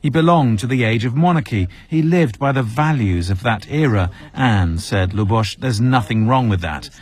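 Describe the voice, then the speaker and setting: A man reporting as a news correspondent in a measured, scripted narration. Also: mid-range voice, expressive, a little mellow.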